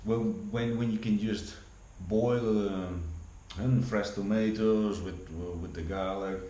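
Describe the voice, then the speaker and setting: A Dutch-accented man in his forties with a fairly low voice, talking off the cuff for his vlog. He wanders, restarts sentences and repeats words, in a room with a noticeable echo. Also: steady tone, slow pace, mellow. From Netherlands. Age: 40s. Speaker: vlogger. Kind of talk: casual rambling monologue. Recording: echoey room with faint background hum.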